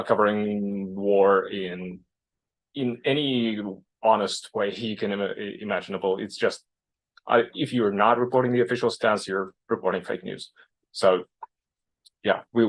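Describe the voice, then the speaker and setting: A man interpreting into English at a panel, hesitant and rephrasing as he goes. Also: fairly low pitch. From Russia. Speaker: interpreter, English-language editor. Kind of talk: interpreting aloud at a public panel.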